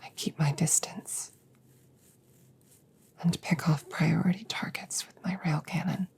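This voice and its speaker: A woman playing a protective, guilt-ridden female android sharpshooter in a roleplay audio drama, speaking in slow, measured, soothing phrases with a breathy voice. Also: low voice, steady tone, a little mellow. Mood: remorseful. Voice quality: breathy whisper.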